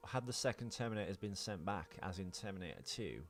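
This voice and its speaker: A man's voice: deep voice, expressive, fast, faint music behind. A British man chatting casually as a podcast co-host, working through film ideas in rambling, speculative explanations.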